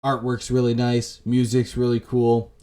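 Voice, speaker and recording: A man's voice: mid-range voice, monotone, unhurried, dark tone. A man giving casual, laid-back commentary as he hosts a video game playthrough. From Canada. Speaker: gaming video host. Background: atmospheric game music.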